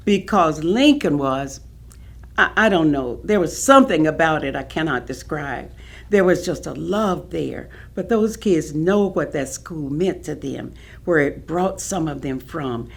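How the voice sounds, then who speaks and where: Woman playing low, expressive, dignified elder. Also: creaky, exaggerated voice. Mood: fond, wistful. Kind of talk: staged reading of oral history testimony.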